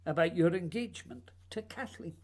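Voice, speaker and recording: A woman playing a proud, secretive male playwright in a radio play, speaking formally and deliberately in a husky voice. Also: fairly low pitch, darkish tone, lightly acted. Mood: wounded, accusing.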